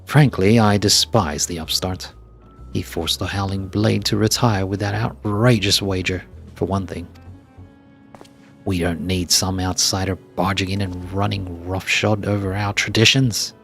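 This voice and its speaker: Hostile arena fan for a game, done by a man: indignant traditionalist, emphatic, creaky, faint music bed. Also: sits low, fairly even intonation, measured pace, a bit bright, full character voice. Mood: angry, indignant. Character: hot-tempered, hostile male fight fan defending tradition. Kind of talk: scripted game dialogue read aloud.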